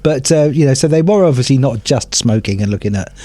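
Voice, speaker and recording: A man's voice: medium pitch, lively intonation, fairly quick, dark tone, no echo. A middle-aged British man co-hosting a podcast, chatting casually and wryly as he reminisces. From United Kingdom. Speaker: podcast co-host. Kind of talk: casual nostalgic chat.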